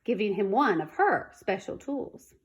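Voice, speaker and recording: A woman reading a children's storybook aloud in an expressive, dramatic manner. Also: medium pitch, unhurried, slightly rough. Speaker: storybook reader.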